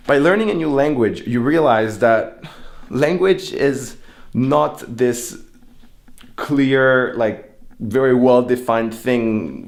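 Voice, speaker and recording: A man's voice: medium pitch, a little mellow. A young man, a native French speaker whose English has an American-influenced French accent, chatting casually in an informal, musing and self-deprecating way. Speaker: speaker giving an informal opinion talk. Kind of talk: casual chat.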